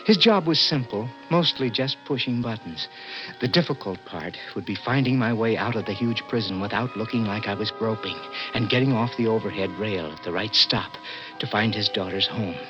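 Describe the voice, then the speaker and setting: A man's voice: fairly low pitch, normal speed, natural voice. An American actor playing an anxious, tense condemned man in a 1960s radio drama, sometimes stammering. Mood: haunted, tense. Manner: tense, stammering under pressure. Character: anxious condemned male prisoner narrating his escape. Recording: muffled old radio, loud music behind.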